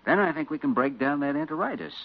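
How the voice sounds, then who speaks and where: An actor playing a confident young doctor with an assured, wry manner, in 1940s radio drama style. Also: male voice, a little high, fast talker. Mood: professional. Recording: muffled old radio recording, light noise.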